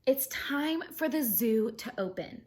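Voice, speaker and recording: A woman's voice: fairly high pitch, lively intonation, a little slow, a little mellow. A woman teacher reading a children's picture book aloud expressively, explaining things simply and putting questions to her young listeners.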